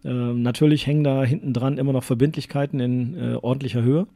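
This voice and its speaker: A man's voice: mid-range voice, fairly even intonation, fast talker, vocal fry, dark tone, dry recording. A man from Westphalia co-hosting a casual podcast chat, talking in a rambling, hesitant way with frequent tag questions. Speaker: podcast co-host.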